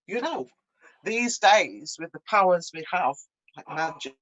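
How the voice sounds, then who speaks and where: A woman's voice: on the low side, lively intonation, a little slow, no room sound, lo-fi sound. A woman in her sixties hosting a live cookalong over a video call from her caravan, chatty and effusive. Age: 60s. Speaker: host. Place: caravan on a campsite.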